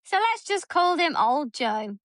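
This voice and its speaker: A woman's voice: high-pitched, sing-song, unhurried, fairly bright tone, no room sound, clean studio sound. A woman in her thirties co-hosting a podcast, reading a story aloud in casual chat, blunt and swearing freely. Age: 30s. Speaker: podcast co-host.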